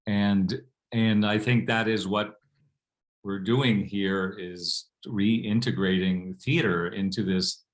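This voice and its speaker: A man's voice: on the low side, poor audio. A man, an actor, speaking reflectively and sincerely as a guest on a panel.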